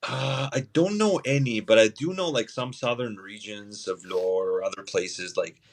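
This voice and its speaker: A man's voice: lowish voice, moderate pace. A man from Albania, a guest chatting casually on a podcast, easygoing and laughing now and then. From Albania, Lushnjë. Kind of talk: casual chat.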